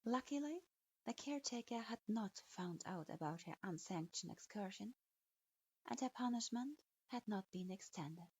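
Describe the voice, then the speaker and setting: A woman narrating fan fiction in a slow, measured reading. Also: mid-range voice, expressive, a little mellow, slightly rough. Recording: dull lo-fi recording.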